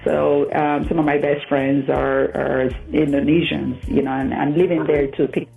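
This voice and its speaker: A woman's voice: low voice, level tone, moderate pace, vocal fry, faint music behind. A woman from Nigeria, a matchmaker, chatting as a guest in a radio interview and laughing often. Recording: tinny phone line.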